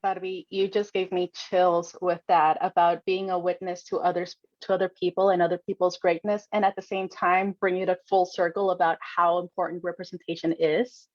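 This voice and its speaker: Bright-talking effusive woman host, slightly dull audio. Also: lowish voice, normal speed, few pauses, no echo. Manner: effusive, expressive, peppers English with Spanish and y'all. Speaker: host, nonprofit representative. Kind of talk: upbeat casual panel hosting.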